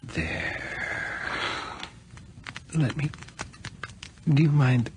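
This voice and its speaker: An actor playing a gentle, eerily coaxing young man in an intimate, soft-spoken radio horror scene. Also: a little high, very slow. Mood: coaxing, tender. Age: young adult. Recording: noisy radio drama recording.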